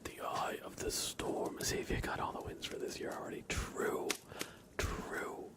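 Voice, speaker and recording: A man hosting an ASMR livestream, whispering softly into a close microphone as he chats casually to viewers and comments on a race. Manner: soft whispering, unhurried chat to viewers. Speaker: livestream host. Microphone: close sensitive microphone.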